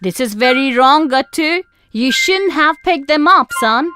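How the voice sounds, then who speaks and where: A woman voicing a gently firm, moralising mother admonishing a child in a children's animated story. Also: high-pitched, measured pace. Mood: gently scolding. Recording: music behind.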